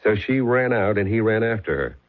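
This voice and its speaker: An actor playing a dogged police sergeant who asks blunt, probing questions, in a 1970s radio drama. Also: male voice, fairly low pitch, lively intonation, brisk, natural voice. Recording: muffled old radio broadcast.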